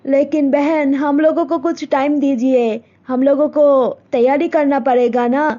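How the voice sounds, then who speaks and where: A woman's voice: high voice, steady tone, a little slow, mellow, lo-fi sound. A woman voicing a middle-aged village mother, firm with her daughter and placating to guests.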